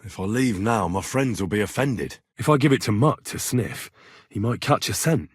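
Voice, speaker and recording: A man playing a young, earnest, plucky medieval blacksmith turned adventurer in a video game, with a plain-spoken, expressive delivery. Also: fairly low pitch, normal speed, husky, no echo, studio quality. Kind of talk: video game voice acting, dramatic dialogue lines.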